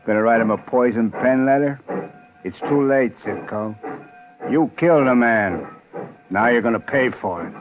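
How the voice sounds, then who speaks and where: Male actor as taunting tough cop, sarcastic, mid-pitched, narrow vintage radio drama sound. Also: unhurried, long pauses, music in the background, lightly acted. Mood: mocking. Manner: sneering, sarcastic. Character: taunting, hard-boiled male police detective, mocking and needling. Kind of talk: 1950s radio crime drama acting. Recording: thin, noisy old radio broadcast.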